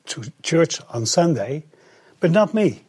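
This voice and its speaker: Husky man, halting. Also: fairly high pitch, big pitch swings, darkish tone. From Netherlands. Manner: halting, repeats words, many fillers. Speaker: guest, travel coordinator. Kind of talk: interview testimony in English, paused for interpreting.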